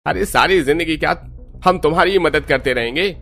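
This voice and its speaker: Man voicing an arrogant, high-pitched scolding brother. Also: fairly quick, creaky, sharp tone. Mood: irritated, scornful. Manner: curt, scolding. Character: arrogant, cold rich brother, bossy and impatient.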